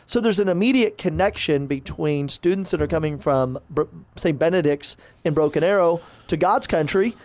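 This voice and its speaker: A man's voice: fairly high pitch, normal speed, few pauses, darkish tone, dry recording. A man, a parish priest chatting casually on a podcast, rambling with lots of fillers and restarts.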